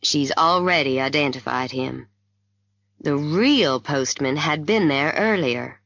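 A woman voicing a steady, kindly male doctor in an audiobook mystery, calm and frank in manner. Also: low voice, dry recording, lo-fi sound, heavily acted. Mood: grave, gentle. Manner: calm, deliberate, reassuring.

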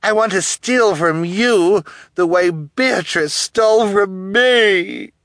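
A man's voice: sits high, fairly bright tone, no echo, studio quality, pitched above the performer's own voice, heavily acted. A man voicing a cruel, vain woman guardian in a gloating, mocking storybook villain manner. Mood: smug, scornful. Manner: drawn-out, mocking, theatrical.

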